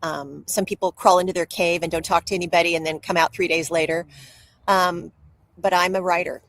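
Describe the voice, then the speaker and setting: A woman's voice: fairly low pitch, steady tone, fairly quick, vocal fry, a bit bright, dry recording, rough recording. A woman speaking as an interview guest and author, telling personal stories in a candid, open way.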